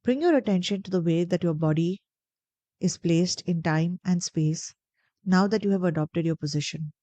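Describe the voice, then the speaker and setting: A woman guiding a meditation in a gentle, deliberate, soothing way, pausing between phrases. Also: fairly low pitch, dry recording. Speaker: meditation guide. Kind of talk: guided meditation narration.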